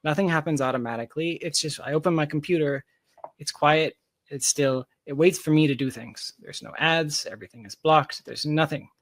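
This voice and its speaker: A man with a slightly high voice speaks as a guest in a casual interview. He explains his thinking emphatically, often repeating phrases to drive them home. The sound is close and dry. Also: brisk, no echo. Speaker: interview guest, tech company founder.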